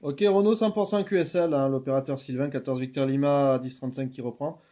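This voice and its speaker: A man's voice: medium pitch, fairly even intonation, brisk, mellow. A man working as an amateur radio operator, chatting casually and repeating himself with frequent tag words. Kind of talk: casual radio contact chat.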